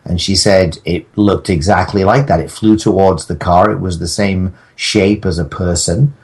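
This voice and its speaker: Low-voiced man rambling jokily. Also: steady tone, normal speed. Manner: rambling, joking, mock-defiant. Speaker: podcast guest, audio drama maker. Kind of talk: casual comic podcast chat.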